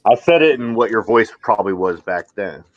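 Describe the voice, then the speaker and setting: A man's voice: expressive, a little fast, no echo. A man co-hosting a casual livestream chat, offhand and joking. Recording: dull dry low-fi mic.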